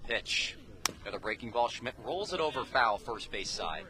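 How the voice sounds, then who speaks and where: A man's voice: medium pitch, expressive, moderate pace. A man calling live baseball play-by-play for radio in clipped, telegraphic phrases. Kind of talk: live sports commentary. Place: outdoors at a baseball game.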